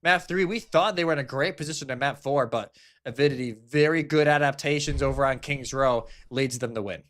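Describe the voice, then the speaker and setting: A man's voice: medium pitch, brisk, a bit bright. A man giving live esports commentary and analysis in a conversational, explanatory way.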